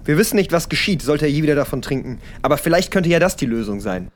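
An anxious, sceptical young male comrade, acted by a man in a radio comedy. He talks quickly in a highish, very lively voice. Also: a little hiss or hum. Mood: doubtful, urgent. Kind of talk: amateur audio comedy acting.

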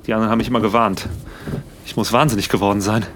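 A man's voice: fairly low pitch, steady tone, racing, fairly bright tone, a little hiss or hum, close to an everyday voice. A man playing a bewildered, panicky stranded fellow in a comedic radio play.

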